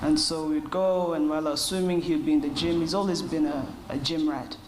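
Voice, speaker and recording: A woman in her thirties answers interview questions in a measured, reflective way. Her voice sits fairly low and her pace is unhurried. A faint noise hums behind her. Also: steady tone, darkish tone, rough recording. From Kenya. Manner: thoughtful, measured, with short pauses. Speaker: interview guest.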